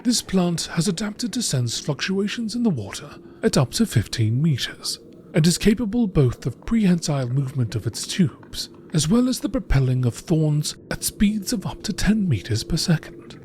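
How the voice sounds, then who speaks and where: A man's voice: on the high side, sing-song, moderate pace, a little mellow, slight background noise. A man reading scripted entries as a narrator in the style of a nature documentary.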